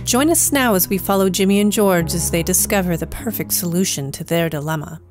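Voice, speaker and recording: A woman's voice: on the low side, a little fast, faint music behind. A woman announcer delivering scripted narration in a radio-play style.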